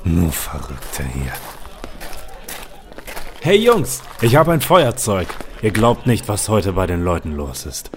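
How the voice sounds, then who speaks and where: A man's voice: sits low, slow, slight background noise. A man who co-hosts a comedy podcast, speaking expressively in acted sketches and banter. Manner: animated, expressive. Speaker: podcast co-host and sketch voice actor.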